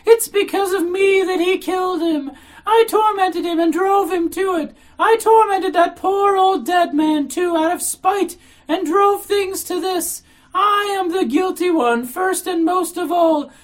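A woman's voice: very high-pitched, a little slow, pitched above the performer's own voice. A woman voicing a frantic, guilt-ridden young woman who wails and exclaims as she reads a novel aloud. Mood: anguished. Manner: wailing, frenzied exclaiming. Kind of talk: dramatic novel reading.

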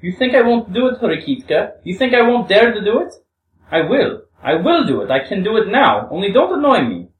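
A woman's voice: mid-range voice, big pitch swings, normal speed, phone-quality sound, voice pushed up, lightly acted. A woman playing a volatile, wounded young Russian woman in a storybook reading, with theatrical exclamations. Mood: wild, defiant. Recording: roomy, distant microphone.

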